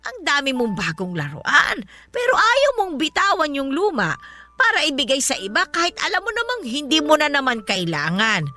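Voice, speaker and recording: A woman's voice: high-pitched, wildly swooping pitch, normal speed, pushed and bright, exaggerated voice. A woman acting a blunt, unfazed older folk healer in a Filipino radio drama, folksy and scolding. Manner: folksy, scolding, matter-of-fact.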